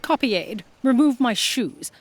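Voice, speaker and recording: A woman's voice: fairly high pitch, animated, unhurried, slight background noise. A woman playing an imperious boss who orders an aide around in a sci-fi audio comedy. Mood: demanding.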